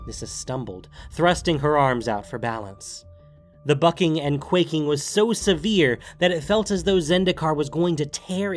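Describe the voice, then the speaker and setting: A man narrating a scripted fantasy story dramatically, with deliberate emphasis. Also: a little high.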